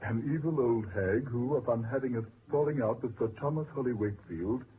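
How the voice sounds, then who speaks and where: An actor playing a skeptical gentleman with a formal, measured delivery in a 1940s radio drama. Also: medium pitch, lightly acted. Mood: grave, measured. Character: brisk, skeptical gentleman in a gloomy mystery. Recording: noisy, tinny 1940s radio recording.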